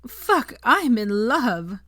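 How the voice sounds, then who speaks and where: A woman's voice: a little high, big pitch swings, drawn-out words, fairly bright tone, no room sound, clean studio sound, pitched above the performer's own voice, full character voice. A woman voicing a brash, theatrical, foul-mouthed young woman in an audiobook story, gushing and emphatic. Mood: gushing, lovestruck. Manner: gushing, casual, emphatic, swearing. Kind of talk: fan fiction audiobook character voice.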